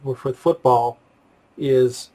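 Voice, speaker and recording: American man hosting, mid-pitched voice. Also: very slow, dark tone. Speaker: interview host. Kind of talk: casual conversational interviewing.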